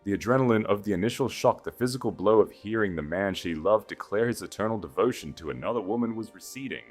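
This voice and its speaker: A man with a very low voice narrates a dramatic audiobook. The phrasing is measured and solemn, with animated swings of pitch, over faint music.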